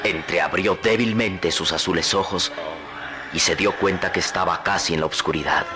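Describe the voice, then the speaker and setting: A man's voice: low voice, steady tone, moderate pace. A man narrating a radio adventure serial in a grave storytelling manner. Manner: grave, storytelling, rising to urgent. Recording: echoey vintage radio drama with loud music.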